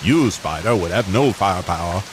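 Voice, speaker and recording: A haughty talking alternator from a radio play , put on by a man. He speaks low and formally, scolding with pompous disdain over clear rain noise. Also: animated, moderate pace. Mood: haughty scorn. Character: snooty male car alternator, haughty and disdainful.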